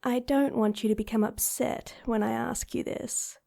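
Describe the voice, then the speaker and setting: A woman playing a composed, persistent noblewoman in an audio drama, wry and probing in a measured way. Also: fairly high pitch, fairly even intonation, vocal fry, dark tone. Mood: cautious, probing.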